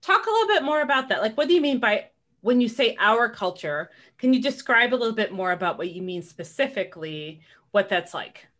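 A woman hosts a relaxed podcast chat in an earnest, warm way. She reminisces and draws her guest out with follow-up questions. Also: fairly high pitch, fairly quick. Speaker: podcast host. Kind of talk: casual podcast conversation.

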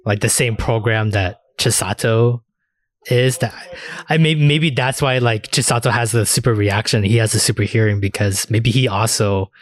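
A man's voice: lowish voice, normal speed, no echo. A man podcast host in casual discussion, rambling enthusiastically and swearing freely. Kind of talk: casual anime discussion chat.

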